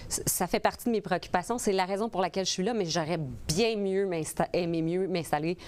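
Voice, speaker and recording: A woman's voice: fairly low pitch, fast talker, a little mellow. A woman in her forties from the Portneuf region with a Québécois accent, answering interview questions as a political candidate, earnest with frequent hesitations. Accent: Québécois French. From Portneuf region, Quebec. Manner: earnest, with many hesitations and restarts.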